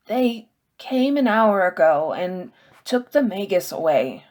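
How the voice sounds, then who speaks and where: A woman reader plays an anxious boy in a storybook. His voice sits higher, hushed and earnest, and he speaks without hurry. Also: very animated, slow pace. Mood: grave, earnest. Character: anxious, earnest boy companion bearing grim news.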